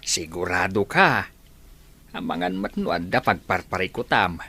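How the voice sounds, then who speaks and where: A man's voice: sits low, animated, unhurried, sharp tone, husky, heavily acted. A man playing a caring, watchful father who questions his grown children in an audio drama. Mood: concerned. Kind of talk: Ilocano audio drama acting.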